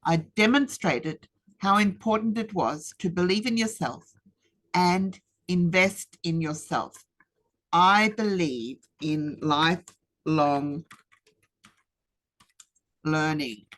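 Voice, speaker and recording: A woman, giving a rehearsed webinar presentation in a measured, deliberate way with emphatic pauses. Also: lowish voice, a bit bright, poor audio. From Australia. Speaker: speaker. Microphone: webinar computer mic.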